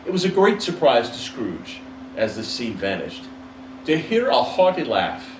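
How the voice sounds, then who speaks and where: Male narrator for literary storytelling; animated delivery, recorded in a roomy space away from the mic. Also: mid-range voice, measured pace. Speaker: storybook reader. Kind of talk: expressive dramatic literary narration. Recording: roomy, distant mic, faint background noise.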